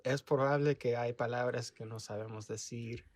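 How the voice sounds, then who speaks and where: A man's voice: medium pitch, fairly even intonation, moderate pace, dry recording. Man speaking Spanish with English as his first language. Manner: frequent agreeing fillers, careful phrasing. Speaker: podcast co-host. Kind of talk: casual chat.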